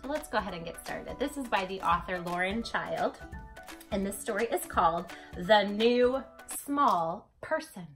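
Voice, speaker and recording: A woman's voice: medium pitch, expressive, measured pace, fairly bright tone, soft background music. A woman hosting a read-aloud for young children, speaking affectionately and expressively, with emphatic storyteller phrasing addressed straight to the listener.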